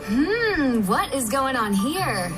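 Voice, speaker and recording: A woman with a high voice talks in a lively panel-guest style. Her pitch moves around a great deal, and music is clearly audible beneath her. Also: slow pace. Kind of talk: lively promotional panel chat. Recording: music under a played stream.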